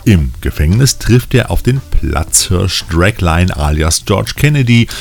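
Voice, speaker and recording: A man's voice: deep voice, animated, measured pace, gravelly. A man hosting a film news podcast, reading scripted previews of new releases. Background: faint music.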